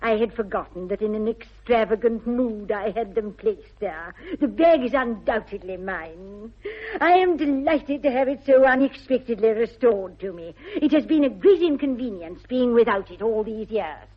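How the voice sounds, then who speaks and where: Prim, high-voiced English spinster governess. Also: female voice, animated, breathy edge. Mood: fussy, pleased. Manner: precise, primly deliberate. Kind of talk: classic British radio comedy acting. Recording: dull old radio recording.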